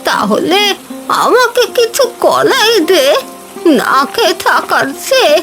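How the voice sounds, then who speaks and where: Over clear background music comes a high-pitched old village granny, played by a woman. She talks slowly and plaintively, drawing out her words as in a cartoon fairy tale. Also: sing-song, full character voice. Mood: needy, plaintive.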